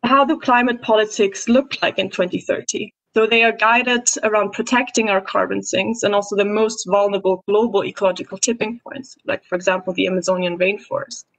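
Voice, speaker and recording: A woman in her twenties from Austria, giving an earnest webinar presentation over a video call and pausing now and then to find her words. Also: medium pitch, fairly even intonation, a bit bright, poor audio. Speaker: youth climate activist presenting. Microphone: computer mic on a video call.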